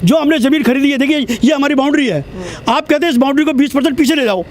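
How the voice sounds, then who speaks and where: A man's voice: very high-pitched, quick pace, a crowd in the background. A man in his seventies speaking at an outdoor protest, indignant and insistent, repeating his points. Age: 70s. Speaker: protest spokesman. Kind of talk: street interview.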